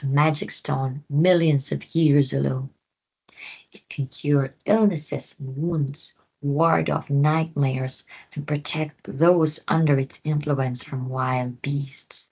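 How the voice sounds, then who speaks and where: A woman voicing a calm, wise owl explaining in a storybook. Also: low-pitched, takes it slowly, breathy edge, dull audio, heavily acted. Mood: knowing.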